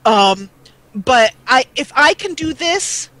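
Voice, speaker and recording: A middle-aged American woman talking earnestly on a live show, halting and repeating words as she goes. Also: high-pitched, sing-song, sharp tone, no echo, rough recording. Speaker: guest, gaming video creator. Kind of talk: live talk show conversation.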